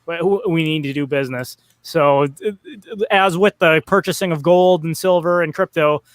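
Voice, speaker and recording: A man's voice: fairly high pitch, moderate pace, dry recording. A man in a casual political podcast chat who stammers through restarts and talks wryly.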